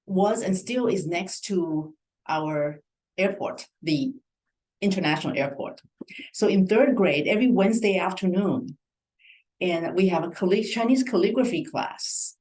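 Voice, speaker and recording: A woman's voice: fairly low pitch, a little mellow, low-quality recording. A middle-aged woman from Taiwan telling a personal story as a panelist at an online event. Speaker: panelist, career advisor. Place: virtual event video call.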